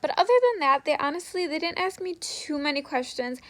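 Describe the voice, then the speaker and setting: A woman's voice: high voice, brisk, a bit bright. A young woman chatting casually to viewers as she shares interview tips, often dropping "like" into her sentences. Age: young adult. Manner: conversational, frequent "like" as filler. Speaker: vlogger sharing interview tips.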